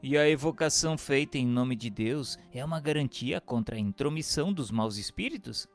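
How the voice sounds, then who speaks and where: A man reading scripted notes and questions for a radio drama with formal, measured diction. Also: mid-range voice, animated, soft background music. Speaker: reader posing the questions.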